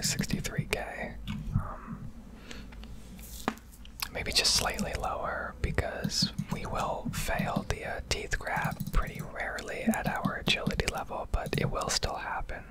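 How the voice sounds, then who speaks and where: Woman, whispered voice, ASMR gaming commentary, rambling explanations, close-miked. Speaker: gaming video creator.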